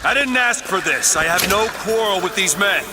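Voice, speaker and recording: An actor playing a defiant, reluctant gunman in a video game, forceful and strained. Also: sits high, lively intonation, moderate pace, very noisy. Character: defiant, reluctant gunman urging on under fire. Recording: loud music behind.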